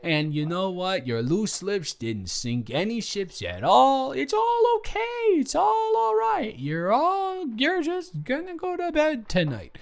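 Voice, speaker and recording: A man's voice: very high voice, huge pitch swings, slow, vocal fry, hardly any pauses, heavily acted. A man playing a drunk young roommate secretly in love, rambling and stammering defensively in an improvised roleplay audio drama. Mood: self-mocking, wistful.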